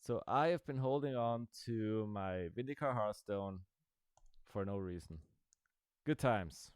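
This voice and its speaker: Guy chatting slow over his game, smooth clear voice, dry close mic, clicks behind. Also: fairly low pitch, lively intonation, no echo. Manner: rambling, dry and sarcastic asides. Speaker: gaming video creator. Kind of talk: casual gameplay commentary.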